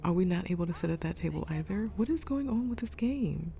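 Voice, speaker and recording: A young woman talks through a game session in a low, gravelly voice, made raspy by a cold she is getting over. Her tone is mellow and dark, and she thinks aloud with frequent ums. A faint hiss of noise runs under the mic. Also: expressive, fairly quick. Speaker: gaming YouTuber. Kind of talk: casual let's-play commentary. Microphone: desk microphone.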